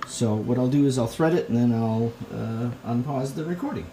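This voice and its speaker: A man giving a casual show-and-tell in a halting, rambling, enthusiastic way, recorded indoors at home on a handheld phone. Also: medium pitch, dark tone, slight background noise, poor audio. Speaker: vlogger, tape collector. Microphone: handheld phone near the speaker.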